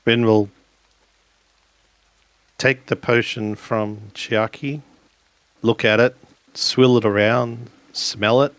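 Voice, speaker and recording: A man playing in a tabletop roleplaying game, halting and measured as he speaks for his character and narrates its actions. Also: mid-range voice, fairly even intonation, a bit bright, dry recording. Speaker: player in a tabletop roleplaying podcast.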